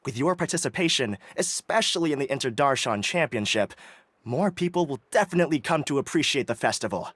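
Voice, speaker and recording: Courteous festival organizer. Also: male voice, mid-range voice, lively intonation, brisk, no echo. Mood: explanatory. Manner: courteous, formal, explanatory. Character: polite young male festival organizer. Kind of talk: video game voice acting. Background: soft game music.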